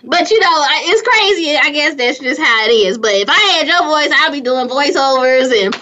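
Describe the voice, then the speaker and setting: Squeaky high-voiced chatty woman. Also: normal speed, muffled recording, a little hiss or hum. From California. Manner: chatty, energetic, laughs often, many restarts. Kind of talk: casual motivational chat.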